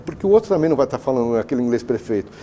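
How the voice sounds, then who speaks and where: A Brazilian man, an education consultant, gives animated, chatty answers in a TV studio interview and acts out talks with clients. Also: medium pitch, very fast, noisy background.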